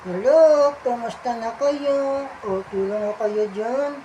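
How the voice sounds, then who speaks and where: Man putting on Will, a high-pitched cheerful puppet. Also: takes it slowly, muffled recording, noisy background. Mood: cheerful, eager. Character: Will, cheerful polite male puppet eager to answer.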